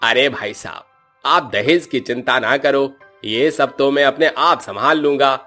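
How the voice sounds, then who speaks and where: A man's voice: mid-range voice, unhurried, pushed and bright, faint music behind. A man voicing a sly, talkative village priest who draws out his words and repeats them for emphasis. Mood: coaxing.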